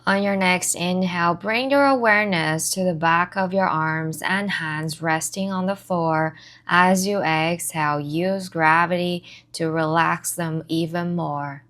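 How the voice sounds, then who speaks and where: A woman leading a guided body scan meditation as an instructor, giving soothing, measured instructions in short phrases with pauses between them. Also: on the low side, fairly even intonation, fairly bright tone. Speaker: meditation and somatic movement instructor.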